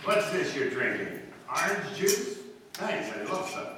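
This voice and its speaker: Distant echoing hall, fairly high male voice, actor as suave society ex-husband, mocking, theatrical, old-time radio comedy. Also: sing-song, measured pace. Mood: breezy, teasing. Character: suave, teasing upper-class ex-husband. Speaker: actor in a live radio play. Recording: very echoey hall, distant mic, faint hiss.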